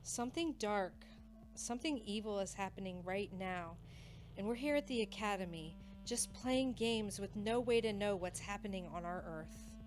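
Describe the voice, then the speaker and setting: A woman's voice: mid-range voice, moderate pace, natural voice. A woman playing an earnest, curious young academy student and wife in an audio drama. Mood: worried. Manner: earnest, concerned.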